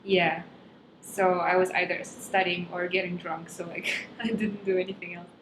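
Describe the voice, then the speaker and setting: A woman's voice: on the low side, little pitch movement, normal speed. A young woman from Kazakhstan whose first language is Russian, chatting casually as a guest and searching for words with frequent ums. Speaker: stand-up comedian, guest. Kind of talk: casual interview chat. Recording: echoey room with background noise.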